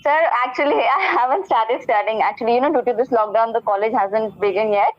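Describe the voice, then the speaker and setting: A woman's voice: fairly high pitch, fast talker, dry recording. Young Indian woman on a dull, lo-fi call. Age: young adult. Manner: polite, eager, hesitant, frequent fillers. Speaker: student. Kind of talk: conversation practice. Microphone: video call.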